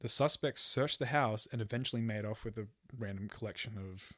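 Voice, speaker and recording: A man's voice: fairly low pitch, brisk, fairly bright tone, no echo, studio quality. A man telling a true crime story as a podcast host, partly from a script. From Australia.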